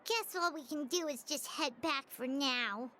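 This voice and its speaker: A woman voicing a tiny, childlike floating companion in a video game, chatty and quick to take offense. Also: high voice, pushed and bright, slight background noise. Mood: excitable. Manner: speaks of herself in the third person, indignant and chatty. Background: game music.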